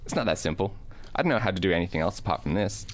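A man's voice: deep voice, expressive, racing. A man acting a mild-mannered, weary school teacher in radio play dialogue, earnest and a little hesitant. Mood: wistful.

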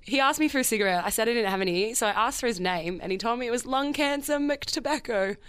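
A woman's voice: on the high side, animated, fast talker, a bit bright, clear, resonant tone, no room sound. A woman co-hosting a podcast in casual comedic chat, playful and teasing as she riffs on tangents. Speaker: podcast co-host.

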